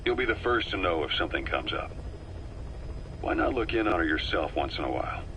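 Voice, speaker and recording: A man playing a cool, calculating veteran mercenary intelligence officer in a video game, speaking in a measured, wry, persuasive way. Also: sits low, big pitch swings, sharp tone, exaggerated voice. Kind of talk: video game voice acting, briefing dialogue. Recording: noisy radio-like cassette tape recording.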